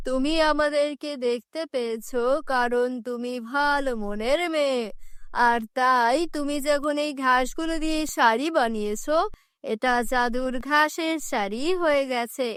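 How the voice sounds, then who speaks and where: Woman acting as magical grass spirit, kindly, solemn, unhurried, high-pitched, cartoon fairy tale. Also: a bit bright, clear, resonant tone, no echo, clean studio sound. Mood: benevolent, solemn.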